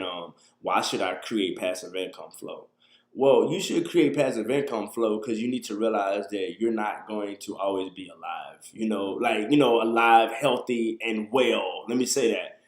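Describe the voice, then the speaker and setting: A man in his thirties with a fairly low voice talks in a loose, conversational way, answering questions from his viewers. The sound comes from a webcam in a small room, with noticeable echo off the walls. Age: 30s. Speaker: livestream host. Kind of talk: casual live talk to viewers.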